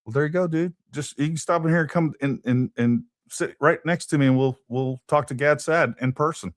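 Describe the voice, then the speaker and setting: A man's voice: on the high side, brisk, dry recording, studio quality. A man hosting a casual political talk show, thinking aloud and repeating words as he goes. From Texas. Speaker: podcast host.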